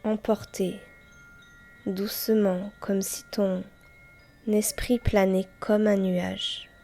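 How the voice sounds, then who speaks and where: A woman guiding a yoga nidra meditation in a soft, breathy, near-whispering voice, gently and unhurriedly, with long pauses between short phrases. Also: mid-range voice, little pitch movement. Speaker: guided meditation teacher and host. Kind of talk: guided yoga nidra meditation, slow and soothing. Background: soft music with chimes.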